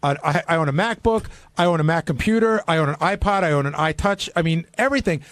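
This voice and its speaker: A man's voice: a little high, quick pace, fairly bright tone, dry recording. A man who works on a radio show, arguing casually on air in a defensive, insistent manner. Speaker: radio show staff regular. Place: dry radio studio.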